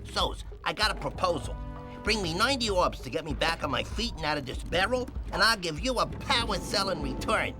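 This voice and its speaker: A man voicing a broke male gambler hiding in a barrel in a video game, pitching deals like a rueful hustler. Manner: wheeling-dealing, rueful hustler talk. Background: game music.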